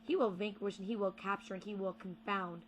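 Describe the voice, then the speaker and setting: A young woman performing spoken word poetry with deliberate, rhythmic phrasing and repeated words. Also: medium pitch, steady tone, slight background noise, rough recording. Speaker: slam poet.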